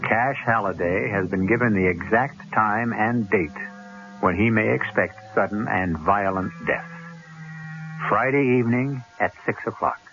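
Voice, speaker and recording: A man hosting a radio mystery, narrating from a script in a deliberate, portentous manner. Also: lowish voice, a bit bright. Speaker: host. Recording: dull old radio recording. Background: music.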